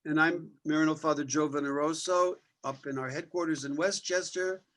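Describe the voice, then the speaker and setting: A man's voice: on the high side, steady tone, moderate pace, a bit bright. A man, a missionary priest, who co-hosts the show and introduces the guests in a genial, joking way. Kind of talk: casual interview.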